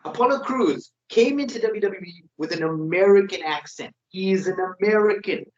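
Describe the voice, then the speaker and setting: A man's voice: high-pitched, moderate pace. A man co-hosting a wrestling predictions podcast in casual, opinionated chat. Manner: opinionated, ranting. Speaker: podcast co-host. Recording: dull lo-fi sound.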